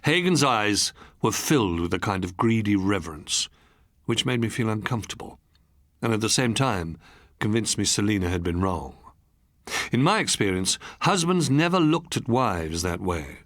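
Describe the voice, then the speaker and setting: Casting: man, audiobook narrator for literary first-person fiction, measured yet animated intonation, dry studio-style sound. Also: on the low side, no echo. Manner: measured, expressive, literary delivery.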